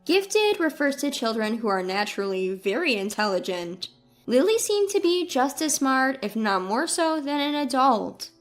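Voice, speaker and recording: A woman's voice: high-pitched, big pitch swings, moderate pace, faint music behind. A woman reading a scripted first-person family story as its narrator.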